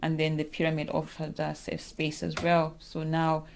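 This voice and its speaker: A woman speaks in an oral history interview in a low, level voice with a gravelly edge. Her sentences wander and often start over partway through. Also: monotone, normal speed, a little mellow. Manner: rambling, restarting sentences. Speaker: interviewee, founder of a women's network.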